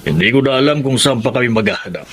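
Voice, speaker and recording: A middle-aged actor playing a kind, troubled husband in a radio drama, speaking earnestly with a melancholy tone. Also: male voice, mid-range voice, animated, fast talker, fairly bright tone, slight background noise, natural voice. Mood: sad.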